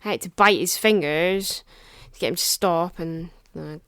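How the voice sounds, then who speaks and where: A shy teenage hitchhiker girl , played by a woman, speaks very slowly and haltingly in a horror audio drama. Her voice sits a little low, with plenty of vocal fry, in a dry recording. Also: a bit bright, no room sound. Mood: timid, upset. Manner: halting, hesitant.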